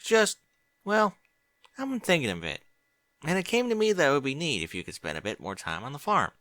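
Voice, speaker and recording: A man's voice: fairly high pitch, wildly swooping pitch, brisk, a bit bright, no room sound, voice pushed up. Man doing a young pony mare, farm girl, folksy, raised voice, swinging tone, close dry sound. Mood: hesitant. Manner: plainspoken, folksy. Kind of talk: audiobook reading of fan fiction.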